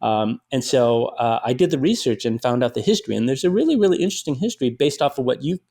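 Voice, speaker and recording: A middle-aged man from Detroit, a writer and filmmaker, chatting in an interview as an animated storyteller with lots of fillers and restarts. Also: mid-range voice, quick pace. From Detroit, USA. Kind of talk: conversational interview.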